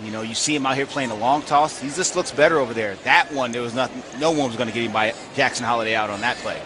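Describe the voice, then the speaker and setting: A man's voice: medium pitch, fast talker. A man with an American accent giving conversational live analysis as a radio baseball commentator. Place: stadium broadcast booth. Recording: clear outdoor ballpark ambience noise.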